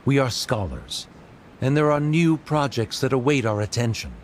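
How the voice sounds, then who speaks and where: An actor playing a haughty, coldly rational scholar in a video game, speaking formally and condescendingly. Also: male voice, mid-range voice, animated, normal speed, slight background noise, close to an everyday voice. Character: haughty male scholar accuser, cold and coolly rational. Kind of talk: scripted video game dialogue.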